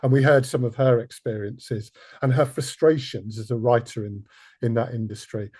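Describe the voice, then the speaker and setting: Male interview host. Soft-edged mellow tone with some rasp, self-deprecating, courteous, slightly halting. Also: mid-range voice, steady tone. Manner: self-deprecating, hesitant, polite. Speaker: podcast host. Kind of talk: casual interview hosting.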